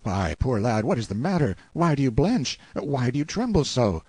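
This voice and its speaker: Man acting a brash, animated, sardonic fellow. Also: mid-range voice, normal speed, slightly rough, no echo, pitched above the performer's own voice. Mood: coaxing. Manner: exclamatory, emphatic. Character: brash, sardonic time-displaced man, boastful and scornful. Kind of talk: audiobook character acting.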